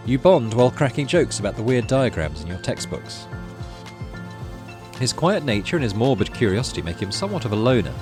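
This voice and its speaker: A man's voice: mid-range voice, big pitch swings, a little fast, music in the background. A man hosting a gaming video, reading story text aloud expressively and adding casual, musing commentary.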